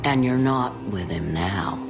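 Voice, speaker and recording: Casting: older alien matriarch, sci-fi game, gruff, sardonic, unhurried delivery, very loud music behind. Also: female voice, rumbling low, lively intonation, heavily acted. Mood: blunt, defensive. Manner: blunt, sardonic, hesitant pauses. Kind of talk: scripted game dialogue.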